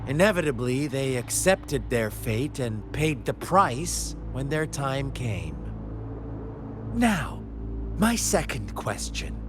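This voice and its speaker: Theatrical game villain, taunting, slow and drawn out, wildly swooping pitch, music behind. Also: male voice, very animated. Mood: mocking. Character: mocking male villain telling a dark parable. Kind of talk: game cutscene voice acting. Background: game score music.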